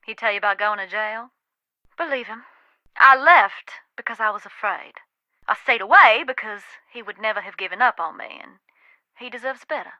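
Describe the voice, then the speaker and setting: A woman's voice: a little high, normal speed, sharp tone, dry recording, muffled recording. An actress playing a wry, haunted Southern woman who knows about ghosts, with a folksy, dramatic, teasing manner in a video game. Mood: guarded, regretful. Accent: American South.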